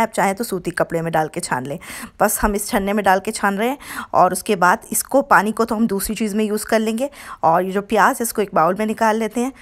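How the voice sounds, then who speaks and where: A woman hosting her cooking channel, explaining a home recipe step by step in a homely, repetitive way with frequent self-corrections. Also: mid-range voice. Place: home kitchen.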